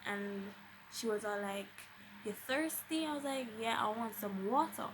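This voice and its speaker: Young woman, Jamaican accent, highish voice, animated casual storytelling, slangy Patois, noisy homemade recording. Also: normal speed. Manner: dramatic, acts out quoted dialogue. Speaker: vlogger telling a personal story.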